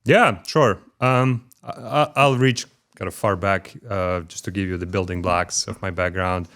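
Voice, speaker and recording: A middle-aged man from Ukraine with a Ukrainian accent, speaking as an interview guest in a measured way with frequent pauses and fillers, recorded in a corporate headquarters office. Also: sits low, steady tone, a bit bright. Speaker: guest, corporate development executive. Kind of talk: conversational interview.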